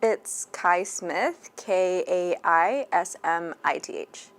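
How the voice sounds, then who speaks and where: A woman's voice: lowish voice, takes it slowly. A woman playing a polite, somewhat hesitant nonbinary patient in a scripted training call. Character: polite nonbinary patient phoning to book an appointment. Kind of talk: scripted training role-play phone call.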